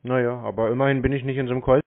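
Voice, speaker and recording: A man bantering casually on phone calls in a deadpan, laconic, provoking manner. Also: mid-range voice, very fast. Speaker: prank call recipient and YouTuber. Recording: tinny narrow phone-call audio, noisy.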